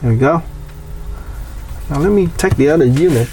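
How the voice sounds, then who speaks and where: A man's voice: fairly high pitch, lively intonation, normal speed, darkish tone. A man giving a casual hands-on DIY demonstration, explaining step by step in short, plain phrases. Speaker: DIY tutorial host. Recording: distant mic, background noise.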